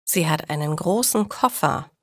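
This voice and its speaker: A woman narrates a simple story for language learners. She speaks slowly, with a lively melody, in a dry, close recording. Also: deep voice, dry recording. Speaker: narrator of German learning stories. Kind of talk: slow, clear scripted story narration for learners.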